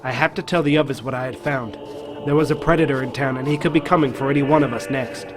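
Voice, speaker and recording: A man playing a worried small-town man who tells his story in a video game, gentle when he talks to children. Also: mid-range voice, fairly even intonation, fast, over music, natural voice. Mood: somber, reflective. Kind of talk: narrated game story with acted dialogue.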